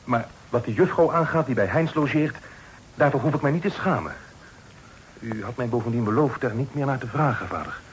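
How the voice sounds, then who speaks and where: Guy playing earnest young son, polite but standing his ground, formal radio drama, medium pitch, slightly noisy old recording. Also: animated, a little fast, natural voice. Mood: earnest, defiant. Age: young adult. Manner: formal, respectful, protesting. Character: earnest, honourable young son defending himself. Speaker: radio play actor. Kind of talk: Dutch radio drama acting.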